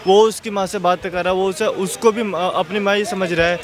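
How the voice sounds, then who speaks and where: A man casually giving his opinion in a street interview, halting and circling back over his phrases. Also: high-pitched, fairly even intonation.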